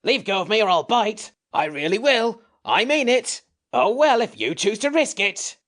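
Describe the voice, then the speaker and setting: Cast: a petulant little furry wish-granting creature, cross and self-pitying, pitched well above the storyteller's own tone and bouncing up and down in a storybook reading , voiced by the narrator. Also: very animated, normal speed, fairly bright tone. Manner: petulant, complaining, easily tearful. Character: grumpy fussy furry sand-fairy creature.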